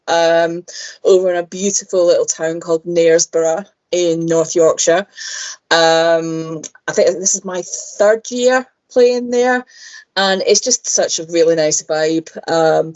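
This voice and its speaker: A talkative Glaswegian woman with a slightly low voice. She chats freely as an interview guest and fills her pauses with lots of "um"s. Her audio comes through dull and lo-fi, like a remote call. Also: a little mellow. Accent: Glaswegian Scottish. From Scotland. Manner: chatty, frequent "um"s, laughs easily. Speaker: musician guest. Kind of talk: casual interview chat.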